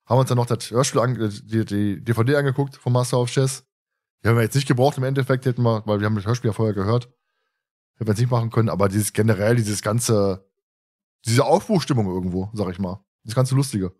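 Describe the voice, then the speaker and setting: A man's voice: mid-range voice, fast talker, a little mellow. Casual male podcast host. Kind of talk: casual chat.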